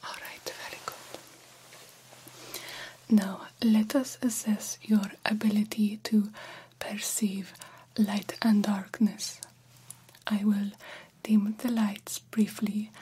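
A woman playing a formal, caring vampire doctor in an ASMR roleplay, whispering softly and reassuringly. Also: mid-range voice, slight background noise, lightly acted, low-quality recording. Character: formal, caring vampire doctor examining a young bat fledgling.

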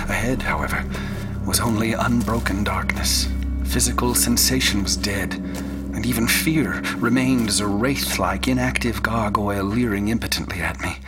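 An actor playing a haunted, obsessive older scholar, recounting cosmic horror in a grave, breathless, florid radio drama delivery. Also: male voice, mid-range voice, lightly acted. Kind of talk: radio drama acting and first-person narration. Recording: dramatic music bed under the voice.

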